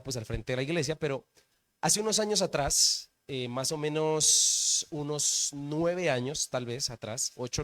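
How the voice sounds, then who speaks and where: A man's voice: mid-range voice, a bit bright. A man, a pastor preaching at a church service, telling a personal story in a conversational way and often asking the congregation questions.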